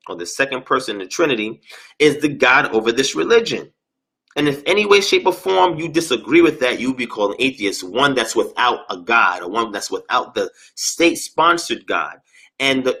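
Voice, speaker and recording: A man's voice: medium pitch, bright, forceful tone, breathy edge. A man guest in an interview, explaining at length in an earnest, lecture-like way and drawing out key words for emphasis. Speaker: interview guest, religious teacher. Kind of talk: explanatory lecture-style talk.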